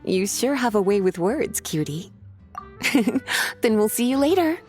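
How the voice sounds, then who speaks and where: Languid game flirt: woman, highish and very expressive, teasing and coaxing. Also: soft background music. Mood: playful. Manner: playful, coaxing, drawn-out delivery. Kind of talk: video game voice acting.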